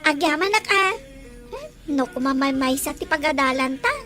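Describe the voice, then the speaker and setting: A woman's voice: high voice, normal speed, over music, natural voice. An actress playing a busy mother in an Ilocano audio drama. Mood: warm. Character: busy mother seeing her children off to school. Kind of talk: Ilocano radio drama acting.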